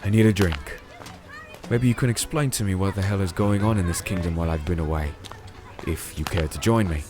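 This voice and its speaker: An actor playing a weary, protective crusader in a fantasy audio drama, speaking in a measured, brooding way. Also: male voice, sits low, natural voice. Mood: wry. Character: disenchanted male crusader, weary, gruff, protective, narrating his tale. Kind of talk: dramatic audio drama acting and first-person narration. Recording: music playing behind.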